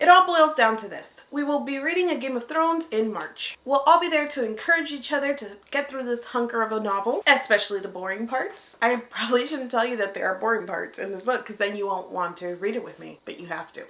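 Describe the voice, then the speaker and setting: High-pitched, playful young woman vlogger, echoey room. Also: bright, forceful tone. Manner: playful, self-deprecating, jokey asides. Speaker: video blogger, co-host of a read-along. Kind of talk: casual, scripted-feeling vlog chat to camera. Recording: roomy, echoey indoor sound.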